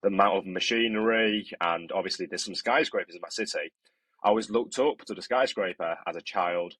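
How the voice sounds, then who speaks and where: A young man talks about his studies in a podcast, earnest and hesitant with frequent restarts. His voice sits low and husky. The recording is dry and close. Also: fairly quick, fairly bright tone, no room sound, poor audio. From northeast China. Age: 20s. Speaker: construction student guest. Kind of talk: podcast interview.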